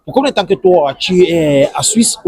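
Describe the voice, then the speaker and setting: A man's voice: sits high, lively intonation, normal speed, darkish tone. A man hosting a casual street interview, asking wordy questions dotted with tag questions. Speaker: interviewer and video host.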